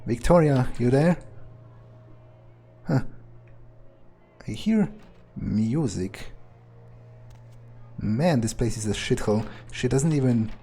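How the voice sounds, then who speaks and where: A man playing a jaded, impatient vampire in a video game, thinking aloud in a halting, profane, sardonic monologue. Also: medium pitch, dark tone, breathy edge. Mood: curious, dismissive. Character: jaded, foul-mouthed male vampire, contemptuous and impatient.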